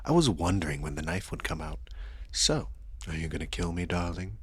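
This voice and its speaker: A man's voice: lively intonation, a bit bright, breathy edge, dry recording, exaggerated voice. A man acting out a seductive, menacing vampire lord in an ASMR roleplay, with theatrical mockery and possessive endearments. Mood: taunting. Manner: slow, theatrical, mocking endearments, frequent low chuckles.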